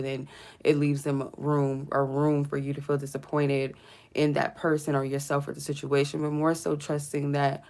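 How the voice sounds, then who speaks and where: Low, gravelly-voiced woman reading tarot. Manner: rambling, filler-heavy, murmurs quiet prayers between readings. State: stuffy from a cold. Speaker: tarot reader hosting a live stream. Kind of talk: casual live tarot reading.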